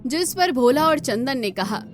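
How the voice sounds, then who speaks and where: A woman's voice: high-pitched, animated, unhurried, soft background music. A woman narrating a scripted cartoon story as the storyteller.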